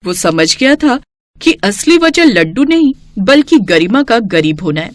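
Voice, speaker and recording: A woman's voice: mid-range voice, sing-song, measured pace, dry recording, studio quality. A woman narrating a scripted moral story in a storyteller's style.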